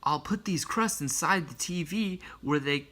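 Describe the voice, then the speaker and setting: A man voicing a kind, thoughtful young boy from a picture book, musing gently and hopefully. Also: on the high side, animated, measured pace, pitched above the performer's own voice, heavily acted.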